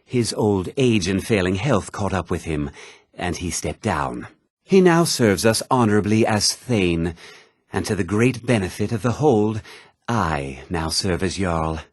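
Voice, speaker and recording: Haughty, husky low-voiced man in a fantasy game. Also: animated, measured pace, no room sound. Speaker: video game voice actor. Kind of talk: fantasy game character dialogue.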